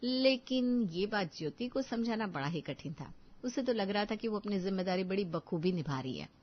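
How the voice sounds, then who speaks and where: A woman narrating a scripted moral story for an animated video. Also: mid-range voice, moderate pace, highs cut off.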